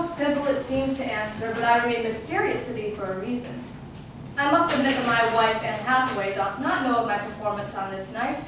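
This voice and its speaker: A woman's voice: on the high side, close to an everyday voice. A woman playing a wistful, lovelorn male Elizabethan playwright in a formal, declamatory theatrical monologue in archaic English. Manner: declamatory, formal, poetic delivery. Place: stage, live performance. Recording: echoey noisy stage, recorded from far off.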